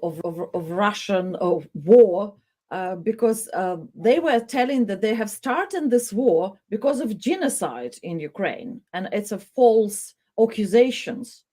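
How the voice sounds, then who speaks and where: A woman hosting a news program and interviewing a guest. She speaks haltingly, with frequent hesitations and restarts. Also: medium pitch, lively intonation, dark tone. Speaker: news host.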